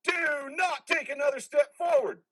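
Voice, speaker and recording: A man in a reader's theater drama playing a stern, ruthless veteran military commander with a commanding delivery. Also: normal speed. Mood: forceful, furious. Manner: commanding, swings from low growl to shouting.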